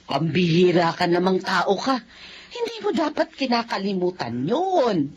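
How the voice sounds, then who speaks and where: Lively, caring, scolding older sister. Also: female voice, lowish voice, a little slow, muffled recording, a little hiss or hum. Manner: expressive, nagging concern. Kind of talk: Filipino radio drama acting.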